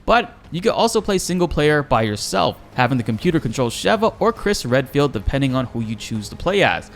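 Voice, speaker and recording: A man's voice: mid-range voice, very animated, fairly quick. A man giving informal, enthusiastic gaming news commentary as a YouTuber, addressing his viewers directly. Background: faint music.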